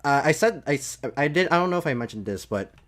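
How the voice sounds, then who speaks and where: A man's voice: on the high side, expressive, fast talker, a bit bright. A man chatting casually about movies as a podcast co-host, with mild swearing sprinkled in.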